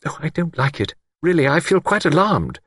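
A man reading an audiobook voices a fussy, anxious middle-aged spinster in a high, breathless manner. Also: huge pitch swings, brisk, husky, dry recording, clean studio sound, exaggerated voice. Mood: alarmed.

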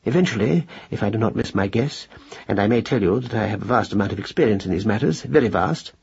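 A man's voice: lowish voice, lively intonation, quick pace, creaky, darkish tone, husky, lo-fi sound, heavily acted. A man voicing a pompous, long-winded elderly male mouse in a children's audiobook, pedantic and hesitant, with formal afterthoughts. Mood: pompous, lecturing.

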